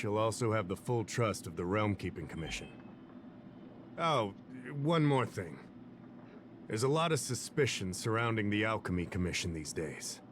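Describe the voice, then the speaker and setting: A somewhat low male voice, acted by a man for an anime game. He is a composed official who instructs politely and with quiet caution. Ambient noise is clearly audible behind him. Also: natural voice.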